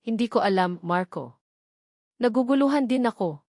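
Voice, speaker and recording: A woman's voice: a little high, lively intonation, normal speed, a little mellow, no echo, clean studio sound. A woman narrator voicing an anxious, evasive wife who speaks hesitantly and unsurely in a story drama. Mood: anxious denial. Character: evasive, anxious young wife hiding something.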